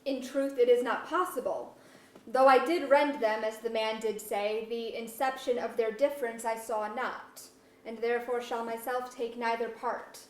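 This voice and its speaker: A woman's voice: fairly high pitch, fairly even intonation, moderate pace, natural voice. A woman playing a principled, unbending monarch in an archaic verse drama, formal and declamatory. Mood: regal firmness. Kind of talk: staged reading of pseudo-Elizabethan verse drama. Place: museum auditorium, live reading. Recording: echoey auditorium.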